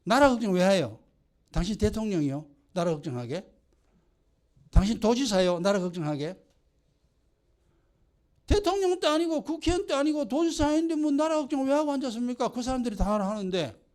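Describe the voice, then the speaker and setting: A man's voice: very high-pitched, very animated, brisk, long pauses, darkish tone. A man with a Gyeongsang Korean accent preaching a sermon as pastor, scolding his congregation with rhetorical questions.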